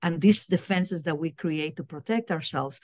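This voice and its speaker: A woman's voice: deep voice, normal speed, darkish tone, dry recording. A middle-aged woman from Puerto Rico answering interview questions over a video call from a small room at home, earnest and often repeating words as she searches for her phrasing. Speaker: guest, university diversity director. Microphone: laptop webcam on video call.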